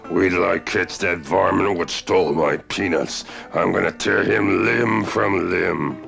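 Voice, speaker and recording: A man voicing an angry male wolf in a storybook tale, talking gruffly and slowly with stammers. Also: deep voice, a bit bright, heavily acted. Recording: guitar playing behind.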